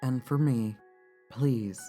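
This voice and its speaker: A composed, kindly woman played by an actress in an audio drama, with a mellow voice. She speaks in a measured, careful, sympathetic way, with music underneath. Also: extremely low pitch, heavily acted. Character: composed, kindly woman reading a final letter.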